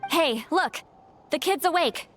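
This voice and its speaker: A friendly young villager from a video game, eager and open. The voice is strikingly high, with faint music behind it. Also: female voice, lively intonation, slow, a bit bright, full character voice. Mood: encouraging.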